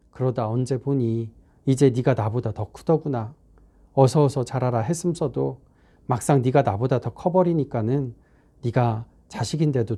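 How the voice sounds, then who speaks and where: A man reading aloud in the voice of a mother who remembers raising her child, with a Korean regional dialect and a soft, reminiscing tone. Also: mid-range voice, lightly acted. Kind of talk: dramatic reading of a text.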